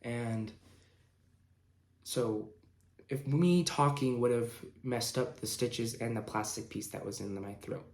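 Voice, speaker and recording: A man in his late teens casually telling a personal story in a halting, informal way, pausing mid-sentence. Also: medium pitch, darkish tone. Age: young adult, late teens. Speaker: vlogger telling own story. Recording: roomy, echoing indoor sound.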